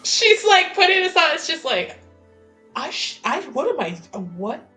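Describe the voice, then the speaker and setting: A woman's voice: high voice, normal speed, soft background music. A woman playing a tabletop game, chatting casually and expressively about what her character does. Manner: expressive, sweary, informal.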